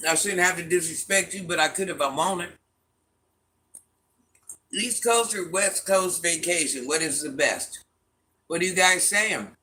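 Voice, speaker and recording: Middle-aged woman w/ a deep smoky voice, sassy n blunt, reading chat live, bright edgy tone. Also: measured pace, pauses often, slight background noise. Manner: blunt, sassy, talks back to commenters. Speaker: livestream host reading chat comments.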